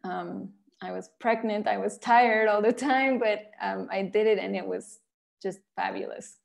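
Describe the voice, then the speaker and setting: A Mexican woman speaks as an interviewed author and illustrator, with a Mexican accent from her native Spanish. Her mid-pitched voice has noticeable creak, and her answers are conversational. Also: a little slow, lo-fi sound.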